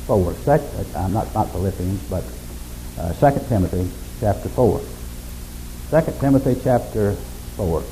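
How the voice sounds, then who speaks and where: A man preaching a sermon in a folksy, unhurried way, with self-deprecating humor and a monotonous, mellow voice. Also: low-pitched, slight background noise, low-quality recording. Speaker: pastor.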